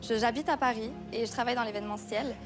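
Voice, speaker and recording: A woman's voice: fairly high pitch, fast talker, over music. A woman in her twenties introducing herself playfully and flirtatiously as a reality show contestant. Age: 20s.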